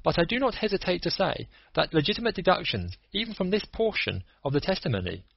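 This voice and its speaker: Picture a cool, analytical detective who lays out his deductions one methodical point at a time, read by a man. The voice is husky and rises and falls in a lively way. The recording is dull and dry. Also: high voice, quick pace, dry recording, pitched above the performer's own voice, heavily acted. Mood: lecturing. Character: cool analytical male detective, lecturing calmly. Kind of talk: audiobook character reading.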